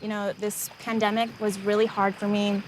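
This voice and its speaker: A woman's voice: mid-range voice, flat delivery, normal speed, slight background noise. A young woman speaking as a contestant on a reality dating show. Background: music.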